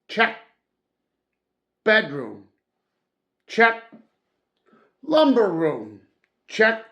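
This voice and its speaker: Very slow, creaky male storyteller. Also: fairly high pitch, fairly bright tone. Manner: deliberate, dramatic phrasing with emphatic stresses. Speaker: audiobook-style reader. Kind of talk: storybook read-aloud narration.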